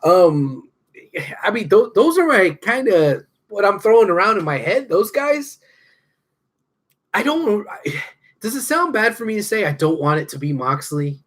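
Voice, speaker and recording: Man, American accent, higher voice, very varied intonation, casual podcast chat, hesitant, thinking aloud, profane. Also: very animated, measured pace, a bit bright. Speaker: wrestling podcast co-host.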